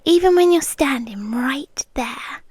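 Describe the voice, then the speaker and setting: British woman, high soft half-whisper, unhurried, nervously chatty ASMR roleplay, dry close mic. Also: animated, no room sound. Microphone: close ASMR microphone.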